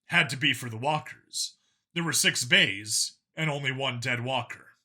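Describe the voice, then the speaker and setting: A man's voice: mid-range voice, a little slow, sharp tone. A man reading a science fiction action story aloud as dramatic scripted narration. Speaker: audiobook-style story narrator.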